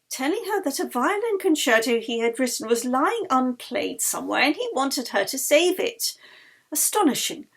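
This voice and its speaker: A woman reading her novel aloud in expressive audiobook narration, with a husky, breathy voice and a dramatic manner that drops to hushed phrase endings. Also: fairly high pitch.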